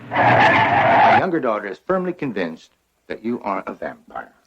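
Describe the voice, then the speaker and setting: A man's voice: on the low side, big pitch swings, unhurried. An actor playing a man in an old television horror drama, heard in a muffled, noisy clip. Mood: earnest.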